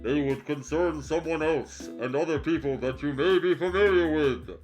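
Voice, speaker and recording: A man's voice: fairly high pitch, moderate pace, darkish tone, natural voice, poor audio. Man putting on a cold, menacing spectre villain. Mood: commanding. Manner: unemotional, stately, threatening. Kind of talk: fan fiction read aloud. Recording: music behind the voice.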